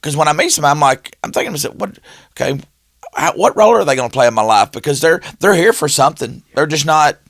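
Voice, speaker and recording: A man's voice: fairly high pitch, fast, dry recording, clean studio sound. A man, telling stories in casual chat and acting out the dialogue as he goes. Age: 50s. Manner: animated storyteller who acts out quoted dialogue.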